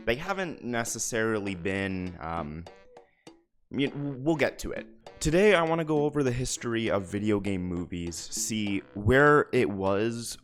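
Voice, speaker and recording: A man narrating a video essay in an explanatory, conversational way with emphatic asides. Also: on the low side. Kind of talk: narrated video essay, semi-scripted commentary.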